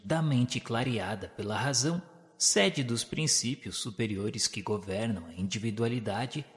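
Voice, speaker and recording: Low-voiced Brazilian male narrator in long reverb. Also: fairly bright tone. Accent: Brazilian Portuguese. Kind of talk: scripted literary narration for a radio drama.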